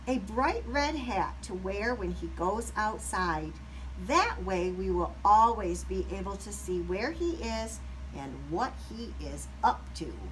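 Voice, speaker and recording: A kindly storybook mother rabbit, acted by a woman reading aloud, fusses gently over a youngster. She speaks slowly and instructively at a medium pitch. Faint noise sits behind the voice. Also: expressive, distant mic. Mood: happy, instructive. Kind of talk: children's storybook read-aloud character.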